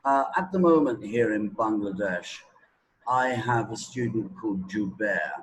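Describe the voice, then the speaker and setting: A middle-aged man talking anecdotally as an English teacher, deliberate and emphatic, with dramatic pauses and repetition. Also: lowish voice, lively intonation, slight background noise, rough recording. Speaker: English teacher being interviewed. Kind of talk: anecdotal spoken talk.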